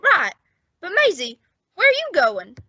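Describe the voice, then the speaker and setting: A woman playing an eager, excitable, naive young sidekick in old-time radio comedy, wide-eyed in delivery. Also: extremely high pitch, a little slow, dry recording, muffled recording, heavily acted.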